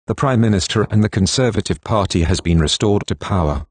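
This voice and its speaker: A man's synthetic voice reading a formal emergency broadcast announcement in a flat, measured way. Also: on the low side, husky, dry recording.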